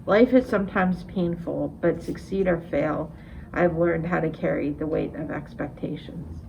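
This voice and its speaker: A woman's voice: sits low, measured pace, gravelly, muffled recording, noisy background. A middle-aged woman, an amateur poet, reading a confessional poem aloud.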